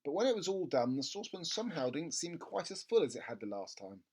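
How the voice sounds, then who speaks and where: A storyteller reading a children's book aloud in an expressive narrating style. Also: medium pitch, quick pace, husky. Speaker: storybook reader.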